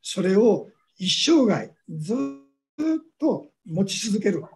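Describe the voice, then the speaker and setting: High-voiced man giving a lecture. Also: lively intonation, music in the background. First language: Japanese. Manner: measured lecturing with drawn-out fillers. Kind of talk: academic lecture.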